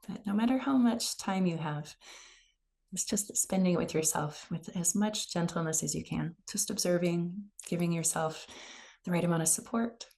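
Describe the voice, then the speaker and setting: Female meditation guide, soft-edged dark tone with noticeable vocal fry, gentle, slow-paced delivery. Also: on the low side. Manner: gentle, unhurried, soothing. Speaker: meditation teacher.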